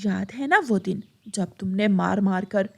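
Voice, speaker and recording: A woman's voice: mid-range voice, unhurried, creaky, dark tone. A woman voicing a resolute mother bulbul bird in a children's cartoon story, firm and declaiming. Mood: bitter, reproachful. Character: resolute bulbul mother bird, bitter toward her bully.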